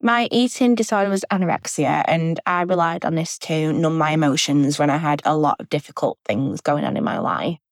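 A woman's voice: deep voice, expressive, a little fast, gravelly, few pauses, no echo. A woman, sharing a recorded message in an earnest, reflective way. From England. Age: 20s.

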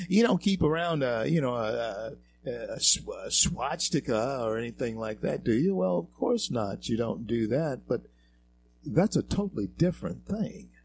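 A talk show host from Louisiana, giving a halting monologue full of fillers and repeated words that swells into emphatic peaks. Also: male voice, medium pitch, gravelly, slight background noise. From Louisiana, American South.

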